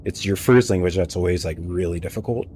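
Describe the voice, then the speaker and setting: A man's voice: low-pitched, brisk, a little hiss or hum. A young man streaming a game and telling rambling stories in casual chat, talking into a headset mic at home. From United States. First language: English. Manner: rambling, anecdotal, animated storytelling. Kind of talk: casual live-stream chat while gaming.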